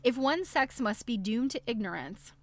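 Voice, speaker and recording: A woman hosting a live stream, reading a book aloud in an expressive, amused way with chatty, casual asides. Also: on the high side, normal speed.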